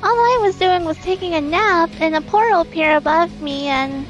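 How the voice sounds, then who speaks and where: A woman voicing Marie, a young female angel fox, joining a game playthrough with soft, giggly chat. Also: extremely high pitch, moderate pace. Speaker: co-player in a voiced let's play. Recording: slightly dull lo-fi audio with background music.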